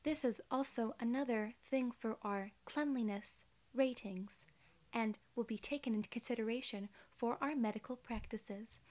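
A young woman playing a polite, clinical alien inspector in an ASMR role-play, soft-spoken, formal and soothing. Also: on the high side, normal speed, natural voice. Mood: formal, calm. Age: young adult. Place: small indoor room, seated.

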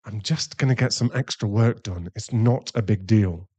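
A man playing a distraught, guilt-ridden werewolf boyfriend in an intimate ASMR roleplay, halting and pleading. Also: lowish voice, dark tone, breathy edge. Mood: curt, withdrawn. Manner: halting, pleading, emotionally fragile.

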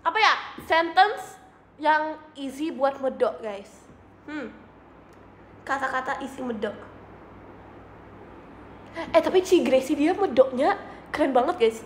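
A woman's voice: high-pitched, lively intonation, slow, pauses often. A young woman chatting casually with viewers on a livestream from a small room, playful and giggly, slipping English phrases into her talk. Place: small indoor room, seated at a desk. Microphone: phone or webcam in front of her. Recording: roomy echo, faint room hiss.

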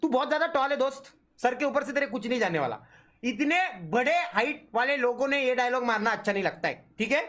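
A man's voice: very high voice, expressive, brisk, a bit bright. A young man giving casual live reaction commentary as a gaming streamer, with teasing banter. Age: young adult.

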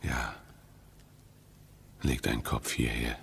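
An actor playing a brooding, estranged husband in a radio play, with deliberate dramatic delivery. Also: male voice, extremely low pitch, little pitch movement, creaky, a bit bright, slight background noise, heavily acted. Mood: hushed, dreamy. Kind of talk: German radio play acting.

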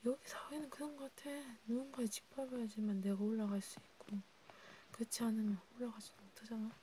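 A woman whispering breathily in an intimate, halting ASMR role play, talking informally as if to one listener. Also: a little high. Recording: steady background noise with clicks.

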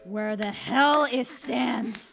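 A woman plays a hot-tempered monster warrior woman in a comic dub. Her voice is gravelly and fairly high, with slow, blunt, forceful phrasing. Faint music sits underneath. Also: full character voice. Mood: angry.

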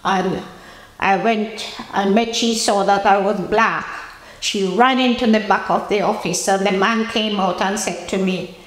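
An elderly woman with a Jamaican accent shares personal memories as an interviewee. Her voice sits at an average pitch for a woman. The indoor room gives it a clear echo. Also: steady tone, measured pace. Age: 80s. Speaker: interviewee recalling her past. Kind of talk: personal reminiscence to camera. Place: indoor room with bare walls, seated interview. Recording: roomy, echoing indoor interview.

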